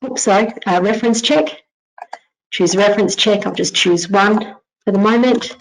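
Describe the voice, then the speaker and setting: A woman giving an informal narrated software tutorial, explaining things step by step as a company presenter. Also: mid-range voice, moderate pace, vocal fry, low-quality recording. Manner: instructional, step-by-step.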